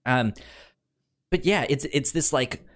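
A man's voice: mid-range voice, slow pace, fairly bright tone. A man co-hosting a podcast in casual film discussion, stammering and repeating syllables and words as he talks. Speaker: podcast co-host.

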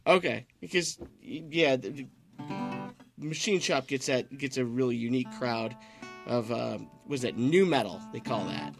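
A man's voice: mid-range voice, normal speed, vocal fry, soft background music. A man with a Detroit accent hosting a casual chat, blunt and agreeable, swearing freely. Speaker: host.

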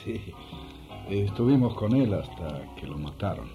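An actor playing an earnest, inquiring apostle in a radio drama, with a husky voice and grave manner. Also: male voice, low-pitched, big pitch swings, unhurried, music in the background, heavily acted.

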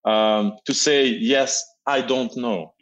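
A man's voice: mid-range voice, fairly even intonation, takes it slowly. Earnest man. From Albania. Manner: earnest, repeats words while searching for them. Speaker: guest. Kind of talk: casual interview answers.

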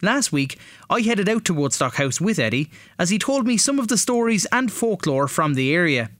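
Irish guy hosting a radio show, voice on the higher side, scripted intro style. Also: big pitch swings, normal speed, fairly bright tone, no room sound, studio quality.

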